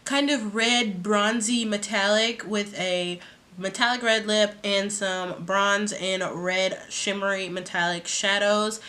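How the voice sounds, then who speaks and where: Raspy-voiced young woman, bright tone. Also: medium pitch. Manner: chatty, rambling, relaxed. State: sore throat. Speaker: beauty vlogger. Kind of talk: casual makeup tutorial.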